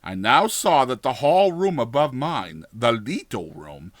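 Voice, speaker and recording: Expressive man narrating gothic horror, dry sound. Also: medium pitch, a little slow, no echo. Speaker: audiobook narrator.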